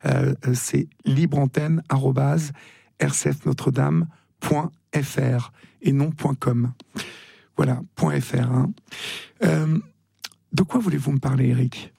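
A man hosting a call-in radio show, unhurried and hesitant with frequent fillers. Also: mid-range voice, steady tone, gravelly, warm tone, husky, no echo, clean studio sound. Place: radio studio.

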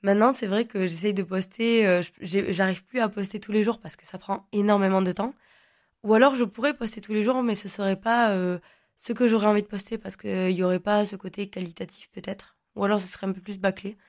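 A young woman whose first language is Occitan, talking at an everyday pitch. She answers in a loose, rambling way, full of fillers and false starts. She sounds like a guest chatting in an interview. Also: fairly even intonation, brisk, a little mellow. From Languedoc, southern France. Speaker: guest, online content creator.

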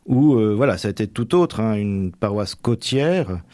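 A man's voice: lowish voice, animated, normal speed, mellow, no room sound, clean studio sound. A young man training for the priesthood, answering radio interview questions in a hesitant way with many fillers and restarts. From Manche, Normandy.

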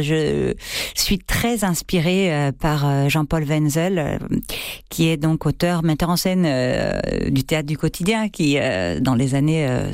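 A woman's voice: low voice, a little mellow, no echo, clean studio sound. A middle-aged French woman, an actress and theatre co-director, answering radio interview questions hesitantly with many fillers and restarts. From France.

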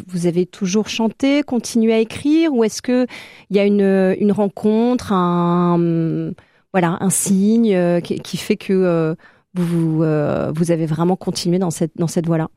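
Mellow, close-sounding woman interviewer. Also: mid-range voice, a little slow, dry recording. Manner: hesitant, searching phrasing. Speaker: radio host interviewing a musical guest. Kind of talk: conversational radio interview.

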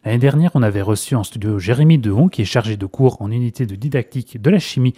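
Animateur radio rapide, voix grave et sombre. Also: male voice, mid-range voice, warm tone, husky. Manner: brisk, fluent presenter. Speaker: radio host. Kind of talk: radio interview.

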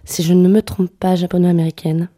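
A young woman with a low, mellow voice talks as an interview guest. She speaks softly and hesitantly, often restarting her sentences and filling pauses. Her tone stays warm and unhurried. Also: level tone. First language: French. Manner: soft-spoken, hesitant, many fillers and restarts. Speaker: singer-songwriter guest. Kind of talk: radio interview conversation.

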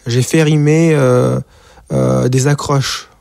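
A man's voice: medium pitch, steady tone, slow pace, vocal fry, a little mellow, dry recording, clean studio sound. A man in his forties from Rwanda, a singer being interviewed, speaking hesitantly with many fillers and repeated words. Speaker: singer and author, interview guest. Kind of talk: radio or TV interview.

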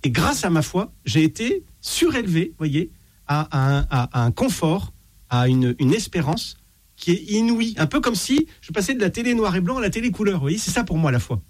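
A man, a priest, talking as a guest on a French talk show, animated and full of restarts and anecdotes. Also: sits high, moderate pace, no echo. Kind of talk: casual talk show conversation.

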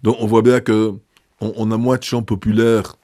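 A man, a bishop answering interview questions in a measured way, pausing often with fillers and restarts. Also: lowish voice, little pitch movement, warm tone, no echo, studio quality.

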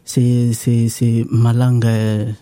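A man from the Democratic Republic of the Congo whose first language is Lingala, speaking as a guest on a radio show in a halting, hesitant way. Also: medium pitch, completely flat delivery, mellow, no room sound, soft background music. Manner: hesitant, halting with restarts and fillers. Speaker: guest, new worker at a farm association. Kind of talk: radio interview, casual. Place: radio studio. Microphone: studio microphone.

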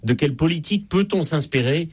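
Husky Belgian-accented man explaining. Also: a little high, animated. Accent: Belgian French. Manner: measured, explanatory, slows on key points. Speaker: author and expert guest. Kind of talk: radio interview.

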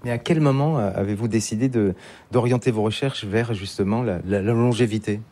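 A man's voice: on the low side, fairly quick, mellow. A male radio host leading an interview and framing his questions in a conversational, filler-heavy way.

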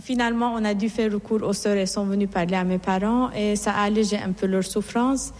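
A middle-aged nun from Iran recounts her calling with earnest, reflective warmth, circling back and restarting her phrases as she goes. Her voice sits at an ordinary woman's pitch. A long hall reverb trails behind every word. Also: fairly even intonation, brisk. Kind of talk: personal testimony in an interview.

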